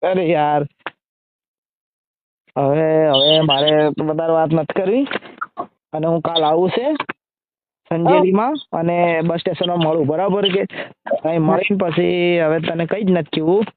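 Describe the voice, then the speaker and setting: A man's voice: fairly high pitch, measured pace, pauses often, slight background noise. Exasperated man on a tinny phone line. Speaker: social media video creator taking a call. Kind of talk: casual phone argument. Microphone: phone call.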